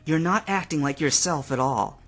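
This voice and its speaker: Guy doing a defiant young woman, high strained voice, hurt and pushing back. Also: lively intonation, normal speed, a bit bright. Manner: raised pitch, tense and pleading.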